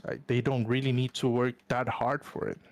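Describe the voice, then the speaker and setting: A congested man from Puerto Rico who speaks English as a second language, feeling under the weather. He talks haltingly over a voice call, stopping and restarting to find his words. The sound is dry and close. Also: medium pitch, flat delivery, no room sound. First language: Spanish. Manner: hesitant, many fillers and restarts. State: sick, congested. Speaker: caller. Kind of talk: debate call on a live stream.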